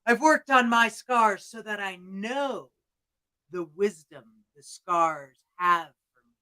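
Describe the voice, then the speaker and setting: A woman voicing a wise, scarred old dragon, speaking dramatically with loud cries and slow, drawn-out words. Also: mid-range voice, animated. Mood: dramatic. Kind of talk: storytelling performance.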